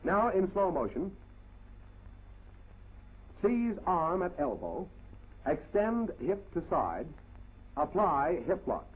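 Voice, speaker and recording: A man narrates a 1940s military training film in clipped, commanding steps. His voice sits fairly high and has a husky grain. The sound is thin and narrow, like an old film soundtrack. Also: big pitch swings, takes it slowly, long pauses. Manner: clipped, commanding step-by-step instruction.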